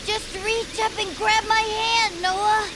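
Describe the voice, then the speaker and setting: A woman voicing a small, childlike, earnest and anxious friend in an audio drama, with a squeaky, creaky voice and hesitant manner. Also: flat delivery, takes it slowly. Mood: worried urgency. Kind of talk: audio fiction acting. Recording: clear background noise.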